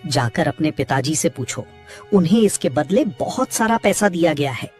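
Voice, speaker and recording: A woman's voice: deep voice, expressive, normal speed, darkish tone, soft background music. A woman voicing a suspicious, demanding man in a horror cartoon story, questioning and insistent. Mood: domineering. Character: demanding, suspicious man in a haunted-house tale.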